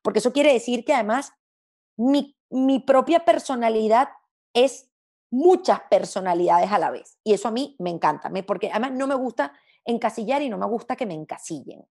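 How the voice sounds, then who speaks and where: A woman from Venezuela speaks in a fairly high voice with noticeable fry. Her Latin American accent is hard to place. She talks as a guest in a reflective, conversational interview, with an expressive way of putting things. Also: normal speed. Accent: Spanish of mixed Latin American sound, hard to place. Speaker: interview guest, gastronomy journalist.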